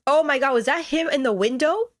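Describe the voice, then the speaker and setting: A woman narrating a visual novel aloud as a let's player, with expressive, conversational reactions. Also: high-pitched, brisk, dry recording, clean studio sound. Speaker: gaming streamer, let's player. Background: game music.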